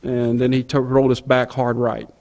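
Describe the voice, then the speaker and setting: A man's voice: mid-range voice, completely flat delivery, normal speed, darkish tone. A middle-aged man tells a story from his working life as a pilot. He speaks informally and plainly, with vivid detail. He voices quoted exchanges as part of the account. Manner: plain-spoken, vivid, acts out quoted radio calls. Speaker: airline pilot giving a recollection. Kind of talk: informal spoken recollection of an event.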